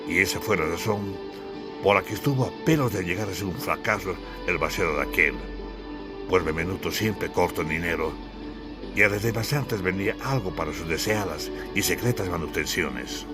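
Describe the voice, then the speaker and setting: A man's voice: deep voice, moderate pace. A man reading a scripted audiobook in a solemn, literary, declamatory manner. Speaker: author narrating his own audiobook. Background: music.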